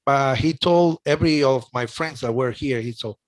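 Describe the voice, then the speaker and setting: A man's voice: mid-range voice, normal speed. A middle-aged man with a Cuban accent hosting a casual chat, talking emotionally with many restarts. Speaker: host.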